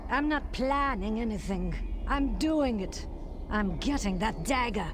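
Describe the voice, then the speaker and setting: An imperious villainess in a video game. Her voice is high and contemptuous, every line a command. There is faint noise behind it. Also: expressive, measured pace. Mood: scornful, determined. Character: imperious, ruthless female Nazi villain, driven and scornful. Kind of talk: dramatic game cutscene acting.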